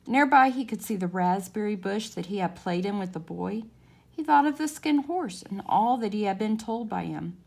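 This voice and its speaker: A woman narrating a children's storybook as a story time reader, in a measured, expressive way. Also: medium pitch, creaky.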